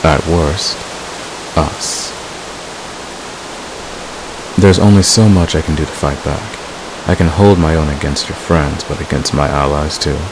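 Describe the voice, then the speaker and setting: A man playing a devoted, manipulative villain in a romantic ASMR roleplay, speaking with deliberate, possessive intensity. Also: steady tone. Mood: somber, intimate. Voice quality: very deep, gravelly. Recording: clear background hiss.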